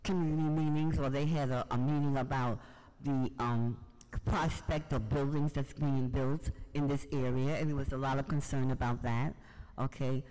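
A man's voice: mid-range voice, steady tone, normal speed, darkish tone, clean tone. An older man from Harlem, telling personal stories unscripted as an audience member. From Harlem, New York, United States. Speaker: audience member, writer and poet. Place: large museum event hall, indoors. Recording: reverberant hall.

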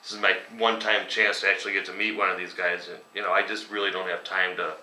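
A man's voice: sits low, flat delivery, fast talker, sharp tone. A man in his forties, a metal band singer, answering interview questions in a casual, rambling way with lots of ums, sitting at a table at home. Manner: casual, rambling, many ums, chuckles at grim stories. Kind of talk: relaxed conversational interview answers. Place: dining room at home, indoors. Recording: echoey room, voice a bit distant.

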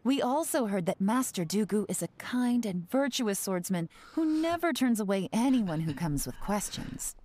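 A woman's voice: mid-range voice, very animated, moderate pace, hardly any pauses, darkish tone. A cool, cunning female spy. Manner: smooth, polished, playfully deceptive. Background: game music.

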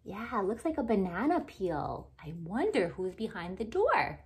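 A woman's voice: mid-range voice, sing-song, unhurried, darkish tone. A woman doing an interactive picture-book read-aloud for young children, speaking in simple, exaggerated phrases with many questions. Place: living room at home, indoors.

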